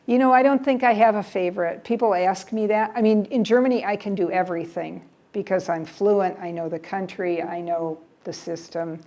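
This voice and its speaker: A woman's voice: medium pitch, vocal fry, mellow. An older American woman giving a casual travel talk to a library audience, chatty, repeating words and trailing off with "yeah". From United States. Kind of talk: casual presentation with audience questions. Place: library event room.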